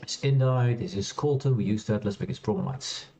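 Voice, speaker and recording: A man streaming a space game, chatting loosely with many fillers and restarts, laughing now and then. He has a loud voice. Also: on the low side, brisk. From Europe. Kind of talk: casual gameplay commentary. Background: quiet game music.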